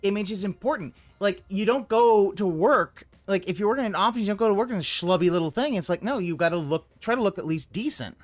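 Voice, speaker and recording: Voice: mid-range voice, animated, fast, few pauses. Profane ranting streamer, faint music behind. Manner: ranting, profane, swings into loud yelling. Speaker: streamer reacting to a video. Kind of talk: live reaction commentary.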